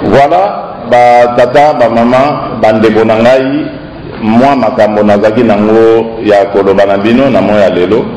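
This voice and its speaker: A man's voice: fairly low pitch, fairly even intonation, a little slow, a little hiss or hum. A man from the Democratic Republic of the Congo giving an emphatic public speech to a crowd. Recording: echoey crowd recording. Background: loud crowd.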